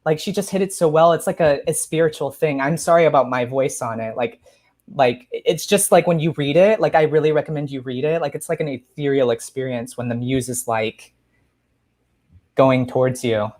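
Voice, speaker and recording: A man's voice: medium pitch, moderate pace, a little mellow. A young man co-hosts a podcast interview from a room at home. His talk is casual and effusive, strung together with plenty of "like", as he chats over a video call. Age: young adult. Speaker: podcast co-host. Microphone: video call, headphones worn.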